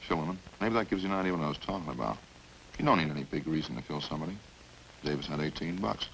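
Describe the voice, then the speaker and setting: A very deep, gravelly, rambling killer. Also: male voice, expressive, rapid-fire, a bit bright, full character voice, rough recording. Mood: matter-of-fact. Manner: casual, rambling, folksy, matter-of-fact. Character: chatty, hungry male killer calmly confessing over supper. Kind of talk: 1950s radio crime drama acting. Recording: hissy old-time radio recording.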